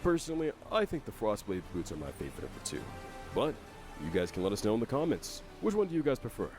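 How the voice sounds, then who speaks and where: A man's voice: fairly low pitch, wildly swooping pitch, fast talker. A man delivering scripted explainer narration for a video game update. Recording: faint music under narration. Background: faint music.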